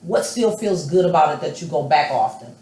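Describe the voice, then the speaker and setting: A woman's voice: low voice, lively intonation, fairly quick, darkish tone. An American woman from Chicago hosting and moderating a panel discussion. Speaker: host. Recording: echoey room with faint noise.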